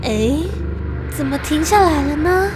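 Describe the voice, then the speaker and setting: Very high-pitched woman announcer, playful. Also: expressive, measured pace, background noise. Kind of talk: playful acted outro.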